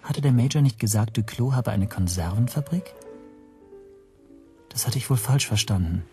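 An actor playing a reflective first-person narrator in a radio play, measured and introspective. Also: male voice, medium pitch, expressive, darkish tone, faint music behind, close to an everyday voice. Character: reflective male narrator telling his own story.

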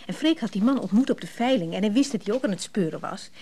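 A woman's voice: mid-range voice, animated, fast talker, mellow, husky. An actress playing an earnest, admiring woman in a radio drama, with a natural, slightly hesitant delivery. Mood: concerned.

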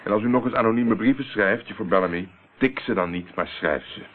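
Guy acting cool curt detective in an old radio play, low voice, tinny muffled sound. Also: a little fast, a little hiss or hum, natural voice. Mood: calm, grim. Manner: insistent, curt, in control. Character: cool, commanding male investigator.

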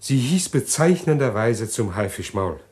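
A nervous man in a vintage radio crime drama, with a mid-pitched voice. He stammers and hesitates, choosing formal, wordy phrases like a fretful bookkeeper confessing. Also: very animated, unhurried, a little mellow. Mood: halting, reflective. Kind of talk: 1950s to 80s German radio crime drama acting.

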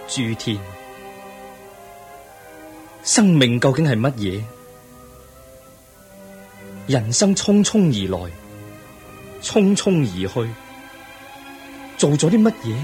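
An actor with a Hong Kong Cantonese accent playing a pensive, earnest young crown prince in a radio drama, speaking formally and reflectively. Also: male voice, medium pitch, big pitch swings, slow, pauses often, darkish tone, faint music behind. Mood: brooding.

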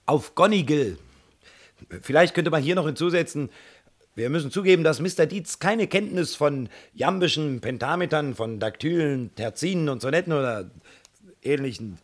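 An actor playing a mocking newspaper reporter dictating a story in a German radio play. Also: male voice, a little high, expressive, normal speed. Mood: mocking, loud.

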